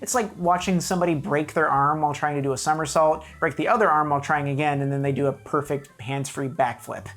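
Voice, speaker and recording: A woman's voice: low voice, fairly even intonation, quick pace, few pauses. A woman narrating a scripted comedic video review in a wry, mock-serious, emphatic way.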